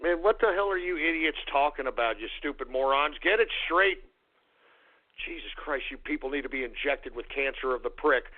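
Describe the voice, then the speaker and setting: A man hosting a call-in radio show, ranting, shouting and swearing at callers while losing his voice. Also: mid-range voice, animated, a little fast. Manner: shouting, profane, abrasive. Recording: phone-like narrow sound.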